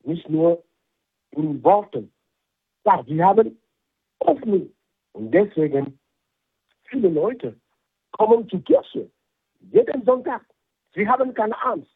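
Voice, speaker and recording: A man, a bishop from Cameroon, speaking slow, halting as an interview guest. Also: breathy edge. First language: a Cameroonian language. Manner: slow, halting non-native German with lively pitch. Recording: tinny phone line.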